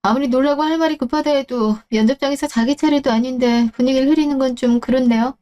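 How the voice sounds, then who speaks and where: A woman's voice: high voice, steady tone, fast, warm tone, natural voice. A woman acting out a young woman co-CEO who interviews job applicants, speaking in a cool, composed, pointed way in a story radio drama.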